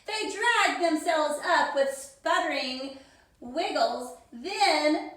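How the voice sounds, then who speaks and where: A woman reading a rhyming children's story aloud with theatrical, deliberate phrasing. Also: high-pitched, animated, a bit bright. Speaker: teacher reading a picture book to children. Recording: very echoey, reverberant room.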